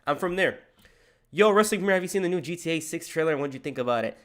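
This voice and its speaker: A man's voice: on the high side, lively intonation, rapid-fire. Slangy man chatting on a live stream. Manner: loose slangy chatter, says bro a lot. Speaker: live streamer reacting to a video. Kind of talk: casual live stream reaction.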